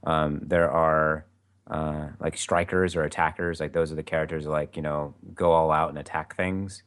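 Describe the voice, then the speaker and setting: A man running a tabletop role-play podcast, explaining slowly with many fillers and restarts. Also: a bass voice, little pitch movement, fairly bright tone. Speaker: game master. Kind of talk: casual podcast chat.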